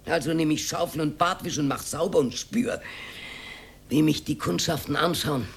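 A woman's voice: low-pitched, moderate pace, vocal fry, slight background noise. An actress playing a talkative older Austrian working-class widow who confides her memories in a radio drama, rambling and dropping into whispers. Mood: aggrieved, confiding. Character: talkative old working-class widow reminiscing about her hard wartime life. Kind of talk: radio drama monologue, naturalistic acting.

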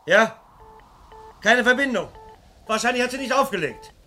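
An actor playing an agitated, commanding police detective, urgent and insistent, in a German radio crime drama. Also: male voice, very high voice, animated, normal speed, pushed and bright, slight background noise, full character voice. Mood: anxious.

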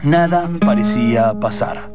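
A man's voice: medium pitch, measured pace, loud music behind the voice. A man with an Argentine accent narrating an improvised radio theatre story in a dramatic storyteller's style.

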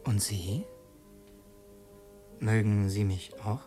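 A man's voice: fairly low pitch, steady tone, takes it slowly, music in the background. An actor playing an earnest revolutionary who narrates his secret mission in a literary radio drama, introspective and brooding. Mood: guarded.

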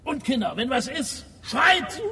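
A young man playing a swaggering, menacing gang leader in a radio drama, loud, bossy and taunting. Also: extremely high pitch, big pitch swings, measured pace, full character voice. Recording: distant, long echoing reverb.

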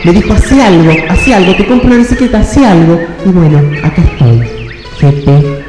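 Man playing a high-voiced bored rich wife. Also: expressive, fairly quick. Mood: complaining. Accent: Rioplatense Argentine. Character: bored, chatty, neglected wife of a rich businessman. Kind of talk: improvised radio play. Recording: echoey hall with loud music.

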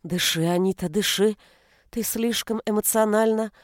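A woman's voice: medium pitch, steady tone, moderate pace, no room sound, studio quality, close to an everyday voice. A woman voicing a strained, protective mother of small children in an audiobook. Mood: self-soothing. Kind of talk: audiobook character voice.

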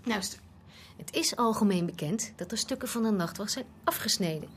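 A woman's voice: mid-range voice, lively intonation, brisk, husky. A woman playing a sensible, affectionate young student and girlfriend in a radio drama. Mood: reasonable, explaining. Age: young adult.

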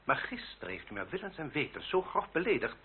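A courtly, haughty French marquis and duellist in a radio play. His voice is husky and fairly high for a man, highly animated yet formal and coolly threatening. The old recording is dull and lo-fi. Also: fast talker, full character voice. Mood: haughty, icy. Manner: formal, haughty, elaborately polite.

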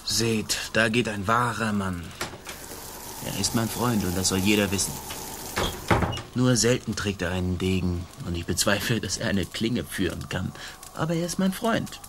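Man playing a bright, blustering boastful sergeant. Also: fairly low pitch, moderate pace, a little hiss or hum, natural voice. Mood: admiring, declamatory. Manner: swaggering, declamatory, bombastic. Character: boastful, blustering Spanish colonial army sergeant. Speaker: actor in a radio play. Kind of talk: theatrical German radio play acting.

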